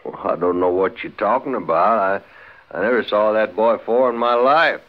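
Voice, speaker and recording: An actor playing a blustering, defensive American gambler villain in a 1950s radio western. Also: male voice, on the low side, moderate pace, close to an everyday voice. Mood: blustering, defiant. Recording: dull, noisy 1950s radio recording.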